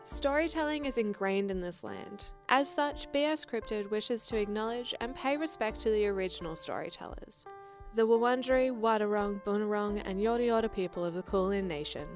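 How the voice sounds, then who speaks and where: A woman's voice: mid-range voice, fairly quick. A woman reading scripted narration and credits as the writer and producer of a show. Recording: music behind the voice. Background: music.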